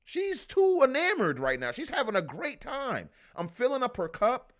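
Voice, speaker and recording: A man's voice: extremely high pitch, moderate pace. A man hosting a podcast, telling stories in casual, profane banter. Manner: animated, profane storytelling. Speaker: podcast host.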